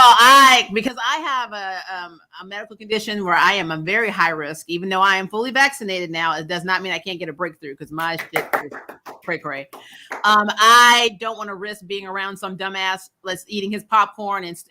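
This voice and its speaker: Woman podcast host, bright forceful voice, animated, sarcastic, sweary, casual film chat. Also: medium pitch, moderate pace. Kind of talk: casual movie review chat.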